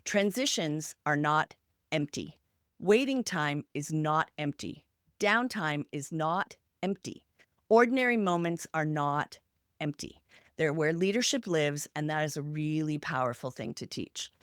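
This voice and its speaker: A woman podcast host with a slightly low voice and animated intonation. She presents prepared points with deliberate emphasis, item by item. The recording is dry, with little room sound. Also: no echo. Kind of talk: podcast talk from prepared notes.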